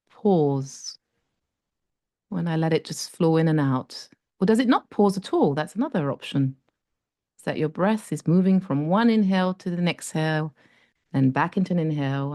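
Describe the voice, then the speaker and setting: A woman with a low, mellow voice leads a breathing meditation. She talks slowly and softly and leaves long pauses between phrases. The sound is close and dry. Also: dry recording. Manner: slow, soft and gentle, with long pauses. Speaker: meditation guide.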